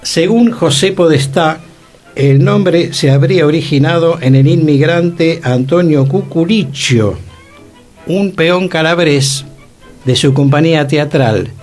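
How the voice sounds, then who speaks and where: A man's voice: fairly high pitch, a little mellow. A man with a Rioplatense Argentine accent giving a didactic, scholarly talk as a radio host. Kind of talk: informative radio talk on theatre history. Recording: music playing behind the voice. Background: music.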